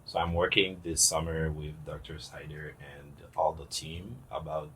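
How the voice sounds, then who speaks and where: A man from Haiti, a physician working as a research assistant, introducing himself in a hesitant, measured way. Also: very deep voice, little pitch movement, fairly bright tone, slight background noise. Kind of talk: casual self-introduction.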